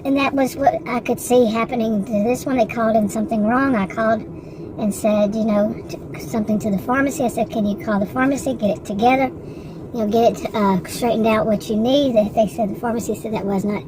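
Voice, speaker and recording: A woman's voice: a little high, steady tone, a little fast, background noise. A woman in her sixties in a rambling, frustrated casual monologue, with many restarts and asides. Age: 60s. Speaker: vlogger.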